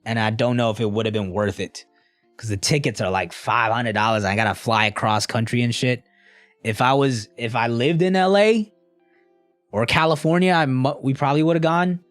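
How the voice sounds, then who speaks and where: A man's voice: mid-range voice, moderate pace, dry recording, faint music behind. A young man casually chatting with a live stream audience in a laid-back, slangy, rambling way. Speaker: streamer answering live chat.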